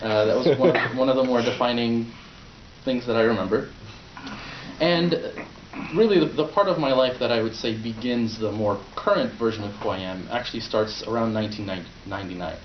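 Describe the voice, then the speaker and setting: A man's voice: mid-range voice, fairly quick, a little hiss or hum. A young man born in Poland, whose first language is Polish, gives a prepared speech at a club meeting, talking conversationally with fillers and restarts. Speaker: club speaker. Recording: roomy, distant, dull sound.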